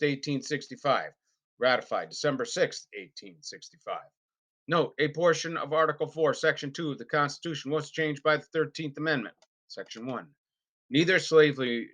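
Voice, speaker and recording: A man reading a formal legal document aloud in an unrehearsed, deliberate and emphatic way, with the occasional stumble. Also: mid-range voice, bright, forceful tone. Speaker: reader.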